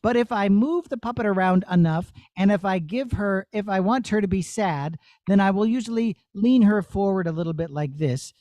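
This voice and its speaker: A man's voice: high-pitched, normal speed, few pauses, darkish tone, no echo. A man working as a puppeteer, casually explaining his craft to children in an encouraging, conversational way. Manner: encouraging, conversational, checking in with listeners. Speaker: puppeteer giving a master class.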